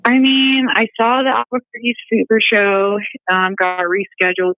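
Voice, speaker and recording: A woman, a model, who is a guest on a live interview and is upbeat and quick to laugh. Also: on the low side, no echo. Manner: upbeat, giggly. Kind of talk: phone interview. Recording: tinny phone line.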